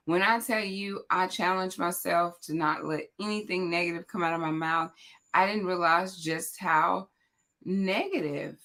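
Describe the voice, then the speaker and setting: Raspy, hoarse woman host. Low, loud and chatty, speaking straight to the viewers. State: hoarse, voice cracking. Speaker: host of a morning motivational livestream. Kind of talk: casual motivational chat to viewers.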